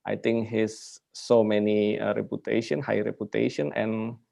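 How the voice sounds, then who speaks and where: A man hosting a university webinar, introducing the guest speaker over a video call in a hesitant, halting way with many fillers. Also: fairly low pitch, flat delivery, vocal fry, warm tone. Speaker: webinar moderator, university host.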